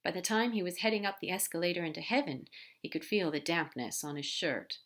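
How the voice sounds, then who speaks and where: A woman narrating a fan fiction story aloud in a gentle, even storytelling way. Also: on the low side, fairly quick. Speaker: podfic reader.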